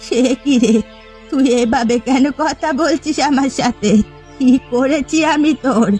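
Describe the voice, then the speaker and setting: A woman playing a jealous, accusing elderly village mother-in-law in a melodramatic cartoon, with slow, emphatic delivery. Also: high voice, darkish tone, soft background music. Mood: indignant, spiteful. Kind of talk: melodramatic cartoon family comedy acting.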